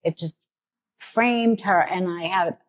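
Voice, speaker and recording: New York woman, podcast host, lowish voice, eager and rambling, muffled lo-fi sound. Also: slow pace. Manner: thinks aloud with many restarts, enthusiastic. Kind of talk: casual conversational interview.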